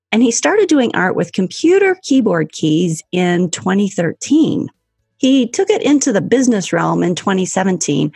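A woman's voice: on the low side, gravelly, husky, dry recording, clean studio sound. A woman hosting a podcast, reading an upbeat introduction and then interviewing her guest with enthusiasm. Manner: enthusiastic, warm praise with laughter. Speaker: podcast host.

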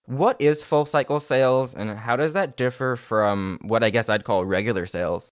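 A man hosting a casual podcast interview, playful, with quick bursts of energy. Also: medium pitch, no room sound, studio quality. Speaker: podcast host.

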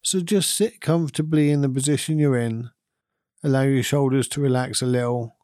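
A man leading a guided meditation in a calm, soothing, unhurried manner with long pauses between phrases. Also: mid-range voice, dry recording, clean studio sound. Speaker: meditation guide and podcast host. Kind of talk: guided meditation, gentle instruction.

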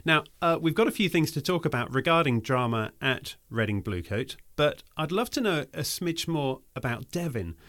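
Lively male podcast host. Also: a little high, moderate pace, few pauses, no echo, clean studio sound. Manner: upbeat, clear presenter delivery. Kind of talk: scripted intro then interview. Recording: music bed under intro.

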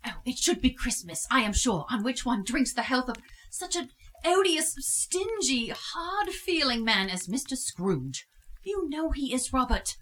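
Sarcastic indignant wife, played by an actress, sharp female voice, animated intonation, theatrical radio play. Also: high voice, a little slow, heavily acted, poor audio. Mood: indignant scorn. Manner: sarcastic, scornful, emphatic. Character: indignant, sharp-tongued wife of a poor clerk.

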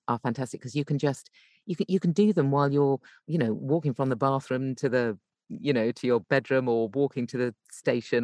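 A woman's voice: extremely low pitch, darkish tone, no room sound. Chatty woman, dry close sound. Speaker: podcast guest, voice actor and coach. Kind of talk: casual interview chat giving tips.

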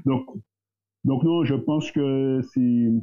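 A man, talking as a guest in a casual discussion, thoughtful and hesitant, pausing often. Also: medium pitch. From Guadeloupe. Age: 30s. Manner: thoughtful, hesitant, with many pauses and fillers. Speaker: guest, entrepreneur. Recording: tinny phone-like line.

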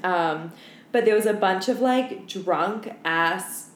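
A woman's voice: mid-range voice, takes it slowly. A young woman co-hosting a podcast, telling stories in casual, sweary, candid chat with lots of filler "like". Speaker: podcast co-host. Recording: roomy echo, faint background noise.

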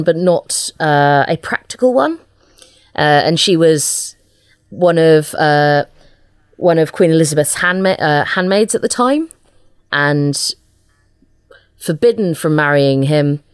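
Low-voiced woman chatting in an interview. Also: smooth, dry recording, studio quality. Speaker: interview guest, audio drama writer. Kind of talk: casual interview chat.

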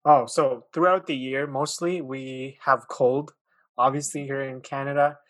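A man in his late twenties who grew up in Pakistan talks as a podcast guest, friendly but hesitant and full of fillers. His voice is mid-pitched. The recording is dry and close. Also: steady tone, a little slow, fairly bright tone, dry recording. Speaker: guest, author and podcast host. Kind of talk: casual interview chat.

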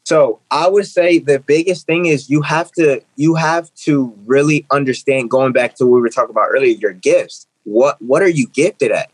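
A man's voice: mid-range voice, normal speed, few pauses, dry recording, low-quality recording. Earnest young man repeating his points. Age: 20s. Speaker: guest, author and speaker. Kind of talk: interview conversation.

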